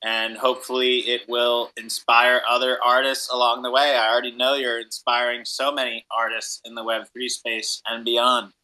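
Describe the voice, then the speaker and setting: Man, podcast host, very bright forceful voice, enthusiastic, casual slang, upbeat delivery. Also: medium pitch, little pitch movement, moderate pace, few pauses, low-quality recording.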